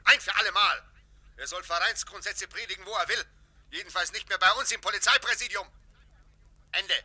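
Comic radio role: a pompous police boss, high, very forceful, curt and barking. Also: male voice, a little fast, breathy edge, exaggerated voice. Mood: blustering. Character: blustering, imperious, irritable police president. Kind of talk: classic German radio comedy acting.

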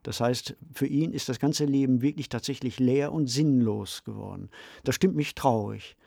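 Male co-host, mid-range voice, measured reflective discussion, dry studio sound. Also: medium pitch, vocal fry, dark tone, no echo. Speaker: podcast co-host. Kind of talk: reflective discussion of a radio play.

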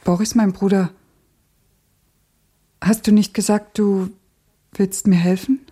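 Husky, grieving young woman revolutionary. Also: mid-range voice, fairly even intonation, slow pace. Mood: resolute, pleading. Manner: intense, solemn, probing, sometimes hushed. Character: grieving, fervent young female revolutionary, insistent and resolute. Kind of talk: dramatic radio play acting.

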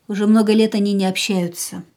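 An actress in a radio play, voicing a grieving young woman in a quiet, stunned inner monologue that often drops to a whisper. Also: medium pitch, steady tone, a little fast, lightly acted. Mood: whispering grief.

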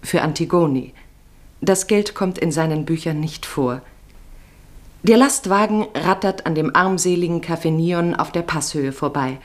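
Female radio drama narrator, lowish voice, measured literary delivery. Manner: measured, descriptive.

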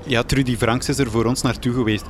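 A man's voice: medium pitch, fairly even intonation, a little fast, close to an everyday voice. Man as a meek, hapless Flemish reporter, noisy recording. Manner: hesitant, apologetic, naive. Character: meek, earnest, hapless male star newspaper journalist. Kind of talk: comic radio drama acting. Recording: clear background noise.

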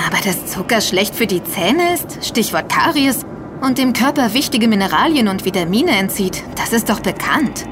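A woman's voice: mid-range voice, very animated, moderate pace, noisy background, lightly acted. An actress playing an informed, inquisitive woman who speaks in an explanatory way in a scripted audio drama.

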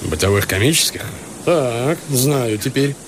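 An actor playing an easygoing, expansive country landowner in a radio play, with folksy, exclamatory speech. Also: male voice, mid-range voice, animated, a little slow, noisy background, close to an everyday voice. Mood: musing. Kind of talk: Russian radio play acting.

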